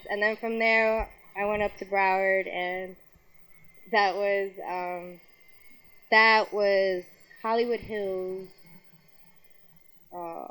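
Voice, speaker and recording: A woman's voice: medium pitch, fairly bright tone, poor audio. A young woman from Colombia raised in New York, talking candidly in a casual interview, often laughing and swearing freely. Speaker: interview guest, music figure.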